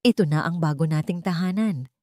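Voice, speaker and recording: Female narrator as young husband, gentle, respectful, calm, soft-spoken, audio drama storytelling. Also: sits low, a little fast, no room sound, studio quality, natural voice.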